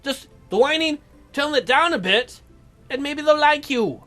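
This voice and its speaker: Female let's play host, high bright voice, sarcastic and teasing, casual joking delivery. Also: expressive, unhurried, faint music behind. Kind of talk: casual joking game commentary, reading dialogue aloud.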